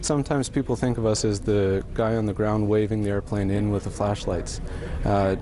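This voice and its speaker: Guy answering interview questions, kinda low voice, bit halting, people chattering around him. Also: steady tone. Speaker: air navigation manager being interviewed. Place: air traffic control centre. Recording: busy workplace chatter behind.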